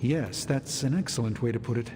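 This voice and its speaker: A man's voice: mid-range voice, in a large hall, music in the background, natural voice. A man voicing a formal, learned sorcerer. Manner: measured, formal, polite. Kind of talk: video game cutscene acting.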